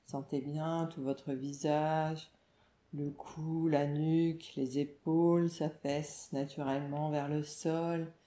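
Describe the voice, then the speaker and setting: A woman meditation teacher leading a guided relaxation in a calm, soothing, instructional way, with short phrases and pauses. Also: deep voice. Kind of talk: guided yoga nidra relaxation narration. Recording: roomy, echoing voice recording.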